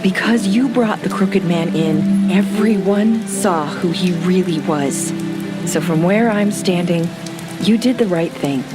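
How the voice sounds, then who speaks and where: A guilt-ridden young woman , voiced by an actress, talks haltingly and pleads, with restarts. Loud music plays under her in the game audio. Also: on the low side, close to an everyday voice. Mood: earnest.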